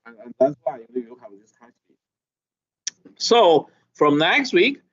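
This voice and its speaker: A middle-aged man lecturing a class, with a halting manner and constant "okay?" checks. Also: on the high side, very animated, husky. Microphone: video call. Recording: dull lo-fi video call audio.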